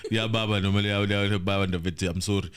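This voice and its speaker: Jovial low-voiced South African male host. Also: steady tone, rapid-fire, clear, resonant tone. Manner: jovial, apologetic, mixes Zulu phrases. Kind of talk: casual live-stream chat. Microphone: headset.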